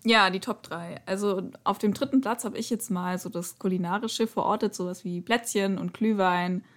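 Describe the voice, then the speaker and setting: A woman's voice: medium pitch, moderate pace. Blunt woman in casual podcast chat. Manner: blunt, argumentative. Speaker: podcast guest.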